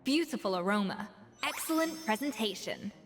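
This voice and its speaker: A woman's voice: on the high side, lively intonation, normal speed, long reverb tail, faint music behind. A woman voicing a haughty, exacting master chef in a dramatic game, with a pompous, precise, cutting delivery. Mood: appraising, approving.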